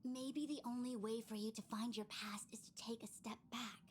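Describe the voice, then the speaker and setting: A woman's voice: fairly high pitch, steady tone, moderate pace, slight background noise, natural voice. An actress playing a composed, authoritative young woman seer in a video game, speaking formally and solemnly. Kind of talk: scripted game voice acting.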